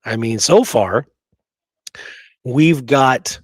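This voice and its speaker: Male, medium-pitched, conversational co-host, emphatic, rhetorical check-ins, dry close recording. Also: lively intonation, very slow, breathy edge, no room sound. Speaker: podcast co-host. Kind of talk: casual conversational discussion.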